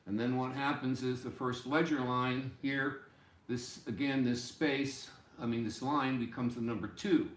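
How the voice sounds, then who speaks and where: A man in his sixties giving an informal music lesson, explaining deliberately and step by step in a small room. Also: mid-range voice, steady tone. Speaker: music teacher. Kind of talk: informal instructional explanation at a whiteboard. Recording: roomy, echoey home room sound.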